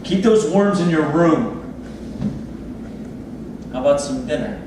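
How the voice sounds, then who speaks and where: A man's voice: on the high side, lively intonation, takes it slowly. A man playing a needy, overbearing surgeon boss in a staged play reading, pushy and wheedling. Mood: irritated, bossy. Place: theatre space, recorded from audience distance. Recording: echoey room, far mic, noisy.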